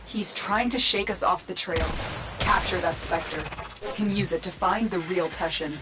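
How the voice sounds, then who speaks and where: A woman playing a calm, commanding mission guide in a sci-fi game, measured and serious, with music behind her. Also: lowish voice, noisy background, close to an everyday voice. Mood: composed, urgent. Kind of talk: scripted game mission briefing.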